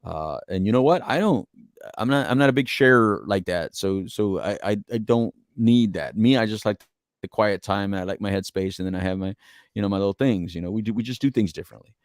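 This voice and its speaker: Middle-aged American male podcast co-host, deep register, earnest, rambling and repetitive, close dry sound. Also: a little fast, dry recording. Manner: earnest, rambling, repeats phrases for emphasis. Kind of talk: casual reflective relationship talk.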